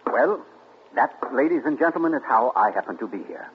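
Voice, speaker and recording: An actor playing a suave, gloating male amateur sleuth in 1940s radio acting, theatrical and deliberate. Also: mid-range voice, lively intonation, highs cut off, natural voice. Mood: smug. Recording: scratchy 1940s radio broadcast.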